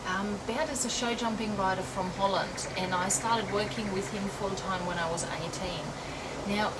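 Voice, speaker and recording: European horse riding coach lady, middle aged, talks slow with lots of ums, mic far off and loud noise behind her. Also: medium pitch. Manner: relaxed, reflective, many ums and restarts. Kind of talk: interview answers. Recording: distant mic, loud outdoor background noise.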